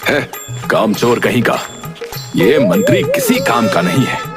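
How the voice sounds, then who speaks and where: A man's voice: medium pitch, wildly swooping pitch, a little slow. A man voicing a foolish, impatient cartoon king with a pompous, scolding, commanding manner. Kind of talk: cartoon voice acting. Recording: music behind the voice.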